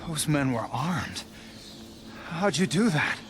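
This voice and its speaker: A man's voice: on the high side, lively intonation, slow, background noise. A man playing a shaken but helpful facility worker in a video game, hesitant and plain-spoken.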